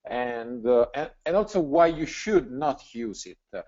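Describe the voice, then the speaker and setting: A man's voice: mid-range voice, animated, measured pace, darkish tone. A man from Italy with an Italian accent, presenting casually on a webinar in a frank, plain-spoken way. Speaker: guest presenter. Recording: dull, lo-fi webinar audio.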